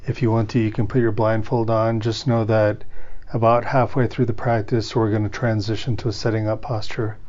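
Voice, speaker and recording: Even-toned male meditation guide, muffled sound. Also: lowish voice. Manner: unhurried phrases with pauses, gently instructing. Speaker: meditation teacher.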